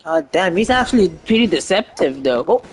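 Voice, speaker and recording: A man giving casual, excitable, sweary commentary as he streams a video game. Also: high-pitched, normal speed, husky.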